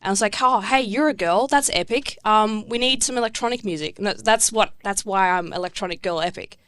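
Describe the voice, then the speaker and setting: A woman's voice: mid-range voice, fairly quick, a bit bright, clear, resonant tone, no echo. A woman from Tasmania, a musician guest chatting casually on a podcast, swearing freely, laughing often and poking fun at herself. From Tasmania, Australia.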